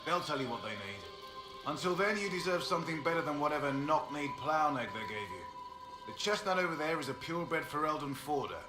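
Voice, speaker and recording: An actor playing a gruff older horsemaster in a fantasy game, blunt, plain-spoken and folksy. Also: male voice, on the high side, lively intonation, brisk, natural voice. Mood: blunt, conciliatory. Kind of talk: video game dialogue acting. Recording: echoey, with music behind.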